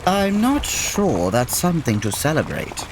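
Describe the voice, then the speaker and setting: Victorian detective, proper and precise, very animated intonation, audio comedy, noisy rainy backdrop. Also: male voice, a little high, unhurried. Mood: measured. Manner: formal, precise, gently pompous. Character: composed, courteous Victorian gentleman detective. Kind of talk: comedy audio drama acting. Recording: noisy, rain-like hiss behind.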